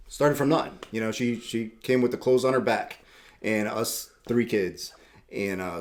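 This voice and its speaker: Middle-aged male guest, low-pitched, relaxed rambling storyteller who circles back on his words. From Puerto Rico. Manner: easygoing, rambling, repeats words, laughs often. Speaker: tattoo shop owner, guest. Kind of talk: casual interview chat.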